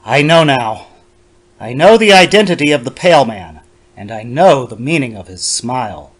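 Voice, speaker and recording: Man acting a theatrical, lonely ailing professor, lively pitch. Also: normal speed, lightly acted. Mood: intrigued, irked. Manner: formal, theatrical, precise. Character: lonely, ailing bachelor professor, fussy and brooding narrator. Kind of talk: dramatic reading of a 1930s horror story.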